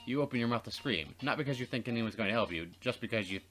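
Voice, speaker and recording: A man's voice: medium pitch, lively intonation, fast talker, a bit bright, faint music behind. A man doing a let's play, narrating dramatically and slipping into casual, jokey banter. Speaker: let's player reading a visual novel aloud.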